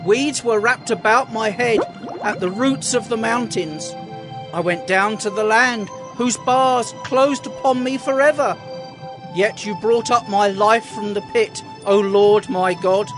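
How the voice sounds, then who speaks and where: An actor playing an anguished male prophet in a dramatized scripture reading, praying in a solemn, impassioned, drawn-out way. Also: high-pitched. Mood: anguished, prayerful. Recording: music under the voice.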